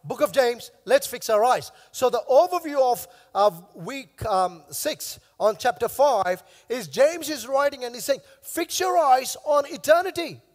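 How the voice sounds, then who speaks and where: Hoarse pastor, high voice in a hall. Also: male voice, expressive, a little slow, hardly any pauses. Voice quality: coughing now and then. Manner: emphatic preaching, repeating key phrases. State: hoarse, lost his voice. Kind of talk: sermon. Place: large church stage with long reverb. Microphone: headset mic.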